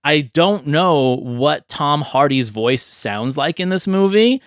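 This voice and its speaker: A man hosting a podcast, chatting casually about films and pitching ideas with animated, vivid storytelling. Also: slow, dry recording, studio quality. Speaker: podcast host. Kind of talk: casual film chat and movie pitching.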